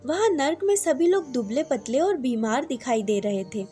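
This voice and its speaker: A woman's voice: high-pitched, animated, normal speed, dark tone, soft background music. A woman narrating a scripted story as a storyteller.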